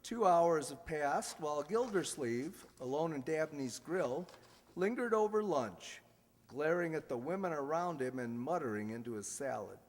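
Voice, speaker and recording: A man's voice: on the high side, expressive, moderate pace, long reverb tail. A man reading scripted announcements and narration in the style of a 1940s radio announcer.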